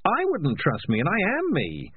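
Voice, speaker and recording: A man's voice: high-pitched, wildly swooping pitch, normal speed, slightly rough, dry recording, phone-quality sound, voice pushed up, exaggerated voice. A man voicing a cynical, self-serving talking cat who wheedles and justifies himself. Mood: wheedling.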